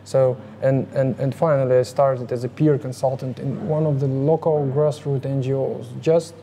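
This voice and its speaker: A man from Ukraine with a Ukrainian accent, answering interview questions in a halting way with many fillers and restarts. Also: medium pitch, little pitch movement, mellow, slight background noise. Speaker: interviewee, harm reduction advocate.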